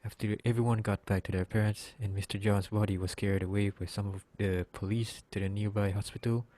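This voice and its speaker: Low-voiced man narrating a script. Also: level tone, a little fast, mellow, no echo.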